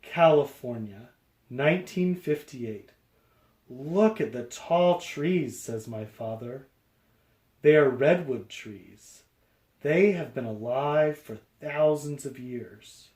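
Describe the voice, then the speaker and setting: Slow-paced man reading aloud, roomy sound. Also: mid-range voice, darkish tone. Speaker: read-aloud storyteller for young children. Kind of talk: children's picture book read-aloud with explanatory asides.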